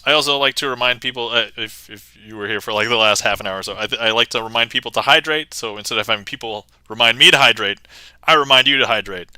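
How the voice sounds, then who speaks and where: A man's voice: medium pitch, quick pace, bright, forceful tone, clear, resonant tone, no echo. A man hosting a magic livestream, chatting casually to viewers with lots of fillers and restarts. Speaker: magician streamer.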